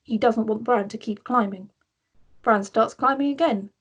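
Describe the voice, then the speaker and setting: Slightly husky woman narrator, fairly high. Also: moderate pace, highs cut off. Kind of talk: scripted narration of screenplay directions.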